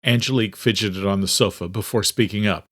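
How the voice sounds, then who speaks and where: A man's voice: lowish voice, steady tone, fairly quick, fairly bright tone. A man reading scripted, dramatic narration for an audiobook.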